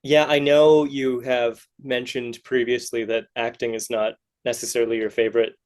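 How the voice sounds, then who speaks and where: A man's voice: medium pitch, little pitch movement, normal speed, darkish tone. A man hosting a casual interview, tentative and encouraging in the way he talks. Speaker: podcast interviewer.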